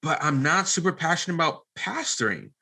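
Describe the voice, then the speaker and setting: Bright-voiced man as a podcast guest. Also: on the high side, big pitch swings, fairly quick, vocal fry. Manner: earnest, circling, self-qualifying. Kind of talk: candid conversational interview.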